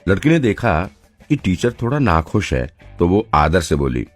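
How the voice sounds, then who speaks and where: A man with an extremely low voice narrates a dramatic audio story. His tone is husky and his pitch moves in an animated way through the scripted storytelling. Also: measured pace, faint music behind.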